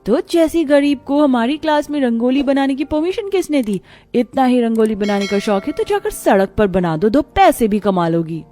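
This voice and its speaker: A woman's voice: high voice, animated, normal speed, mellow, faint music behind. A woman voicing a haughty, bullying rich schoolchild, scornful and bossy, in a cartoon story.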